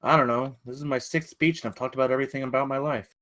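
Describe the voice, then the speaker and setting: Quick-talking mild young man, acted by a man. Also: medium pitch, steady tone, fairly bright tone, no echo, natural voice. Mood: earnest, mild. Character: earnest, mild young hard-of-hearing younger brother. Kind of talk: script table read.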